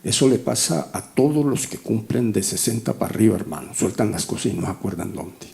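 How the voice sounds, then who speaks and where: A man's voice: on the low side, expressive, brisk, vocal fry, dark tone. A man in his sixties preaching a conversational, teaching sermon, often checking in with short rhetorical questions. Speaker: pastor teaching a Bible class.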